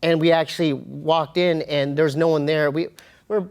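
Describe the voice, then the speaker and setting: A man telling personal stories as an interview guest, in an informal, slangy way with halting, repeated phrases. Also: on the high side, steady tone, gravelly. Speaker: interview guest, comedian and podcast host. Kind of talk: casual animated storytelling.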